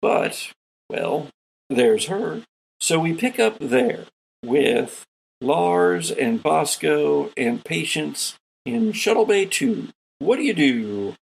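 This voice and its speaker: A man hosting and narrating a tabletop role-playing game as game master, with theatrical, deliberate pauses. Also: a little high, very animated, creaky, slightly rough, poor audio.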